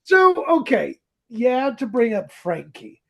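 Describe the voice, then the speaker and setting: Podcast co-host, husky and creaky, theatrical and playful, casual comic chat. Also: mid-range voice, huge pitch swings, slow, fairly bright tone. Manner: theatrical, playfully mock-dramatic. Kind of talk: casual comedic chat about old radio drama.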